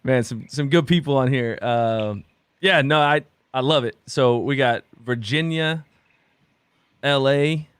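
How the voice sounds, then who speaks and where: A man's voice: medium pitch, smooth, no room sound. Reflective man pastor, dry close sound. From Oklahoma. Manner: halting, many restarts and fillers, reflective. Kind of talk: candid conversation on a video call.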